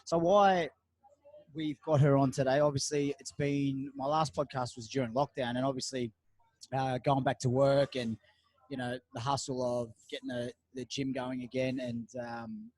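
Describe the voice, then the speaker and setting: A man's voice: medium pitch, level tone, moderate pace, darkish tone, no room sound. A man hosting a podcast in a cafe, chatting loosely with many fillers and restarts. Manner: rambling, lots of fillers and restarts. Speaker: podcast host. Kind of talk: casual interview chat.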